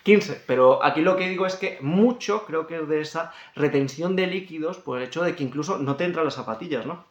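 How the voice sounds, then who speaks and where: High-voiced Spanish man in an echoey room. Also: fairly quick, few pauses, highs cut off. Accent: European Spanish. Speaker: fitness coach presenting a training guide. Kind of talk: informal explanatory talk to camera.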